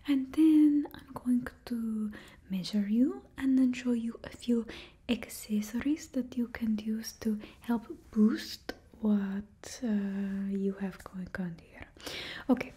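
A woman's voice: fairly high pitch, dark tone, slightly rough, exaggerated voice. A young woman voicing a bossy female stylist to supervillains with a put-on Russian accent, whispering blunt remarks close to the mic. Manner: blunt and condescending. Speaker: ASMR creator. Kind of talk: ASMR roleplay. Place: indoor studio with painted backdrop. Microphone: close ASMR mic.

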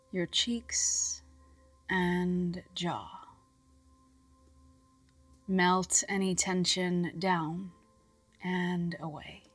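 A woman narrates a guided relaxation at a very slow pace, in a soft and slightly low voice. Her delivery is soothing and measured, turning breathy at the ends of phrases. Music plays behind her. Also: dry recording. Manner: soothing, measured, with long pauses. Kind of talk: scripted hypnobirthing relaxation guidance.

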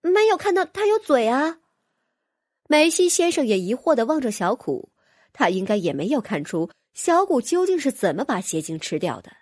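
A woman's voice: mid-range voice, sing-song, fairly quick, husky, no room sound, studio quality. A woman narrating a fantasy audiobook from a script, as a storyteller.

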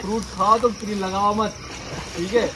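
Young man, high-pitched, joking vlog host, laughs often, noisy outdoor recording. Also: level tone, moderate pace. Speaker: vlogger. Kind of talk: casual vlog chat. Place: outdoor pool party at night.

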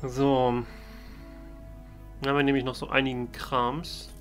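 A man's voice: medium pitch, level tone, a little slow, soft background music. A man doing casual, in-character let's play commentary, musing and thinking aloud. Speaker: let's player.

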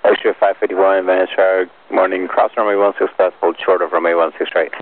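A man's voice: deep voice, little pitch movement, quick pace. A man working as an air traffic tower controller, giving clipped instructions over aviation radio. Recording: muffled narrow-band tower radio recording.

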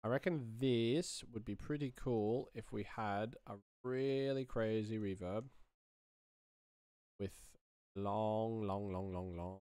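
Casual male tutorial host, 30s, low-pitched, thinking aloud, close dry mic. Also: fairly even intonation, takes it slowly, warm tone, smooth, dry recording. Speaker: sound designer, tutorial host. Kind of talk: casual screen-recorded demonstration, thinking aloud.

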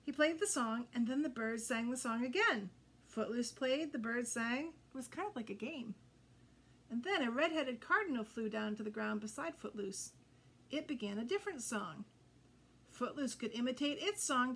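An older woman reading a children's picture book aloud, expressive and addressing young listeners directly. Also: a little high, moderate pace, husky. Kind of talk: children's read-aloud storytelling. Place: indoors before a green backdrop. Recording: noticeable background noise.

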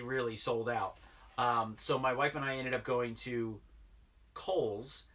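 Man in his 30s, toy-collector vlogger, rambling chat, husky edge, a bit off-mic. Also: medium pitch, sharp tone, distant mic. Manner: rambling, filler-heavy, enthusiastic about toys. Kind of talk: casual unboxing chat. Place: basement toy room, indoors.

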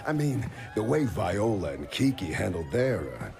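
A gravelly, silky-voiced brute boss. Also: male voice, sing-song, normal speed, music in the background. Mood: mock friendly. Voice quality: deep gravelly. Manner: soft, silky, threatening. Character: menacing hulking male gang boss, smooth-talking.

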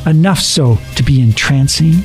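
Male narrator for dramatic horror audio story, deliberate and suspenseful, music bed behind. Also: medium pitch. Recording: narration over background music.

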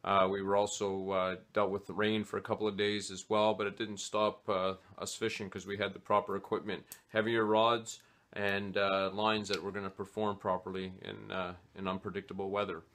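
Man with a low voice, halting gear talk. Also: little pitch movement, fairly bright tone. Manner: hesitant, many "uh" fillers. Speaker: fly fishing vlogger. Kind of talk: casual gear talk to camera. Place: small home office, indoors.

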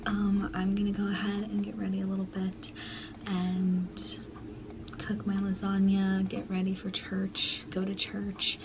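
A woman's voice: medium pitch, monotone, unhurried, muffled recording, background noise. A woman in her twenties chatting casually to the camera in her bedroom, upbeat and full of "like" and "um". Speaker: vlogger. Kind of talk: casual vlog chat to camera. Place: small bedroom at home, indoors.

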